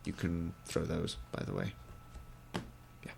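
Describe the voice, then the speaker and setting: A young man commentates a game in a wry, put-on gentlemanly manner, his deep, gravelly voice stuffy from a cold. He speaks into a headset, with faint keyboard clicks behind him. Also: animated, a little slow, slight background noise. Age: young adult. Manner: mock-posh, wry, gentlemanly put-on accent. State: congested from a cold. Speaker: gaming video creator. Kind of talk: let's play commentary.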